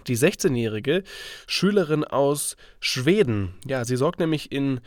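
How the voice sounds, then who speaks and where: A man with a cold hosts a solo podcast. He sounds stuffy and congested and talks in a loose, thinking-aloud way with fillers. His voice is mid-pitched, and the recording is dry and close with no room sound. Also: no echo. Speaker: podcast host.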